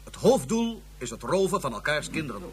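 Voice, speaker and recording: A man's voice: on the high side, big pitch swings, fairly quick. An actor playing a haughty male Martian ruler, speaking in a formal, condescending way. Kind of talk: radio drama acting.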